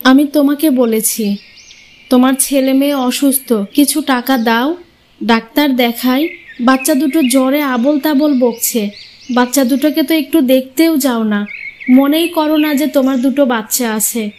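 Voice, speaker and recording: A woman's voice: high-pitched, moderate pace, a little mellow, a little hiss or hum, lightly acted. A woman voicing a long-suffering, sorrowful young mother in a cartoon fairy tale, earnest in manner. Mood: worried pleading.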